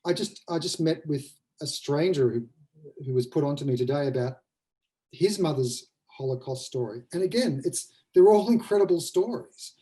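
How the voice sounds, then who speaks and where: A man with a soft-edged, mellow voice speaks as a writer on a webinar. He is reflective and conversational. He often halts and repeats a phrase while he works out what he means. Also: fairly high pitch, expressive. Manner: thoughtful, halting, repeats phrases as they form. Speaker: playwright adapting a novel for the stage.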